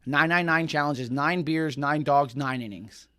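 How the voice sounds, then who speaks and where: A man from Texas hosting a casual podcast, chatty and quick to laugh, telling stories. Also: a little high, pushed and bright. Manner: chatty, laughs often, storytelling. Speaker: podcast host.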